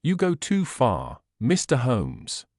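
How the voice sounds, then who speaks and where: A man's voice: mid-range voice, huge pitch swings, takes it slowly, a little mellow, dry recording, clean studio sound, exaggerated voice. A man voicing a proud, bitter rival musician in an audiobook, curt and coldly civil. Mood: cold, resentful. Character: cold, bitter, proud male rival violinist. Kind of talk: audiobook character voice.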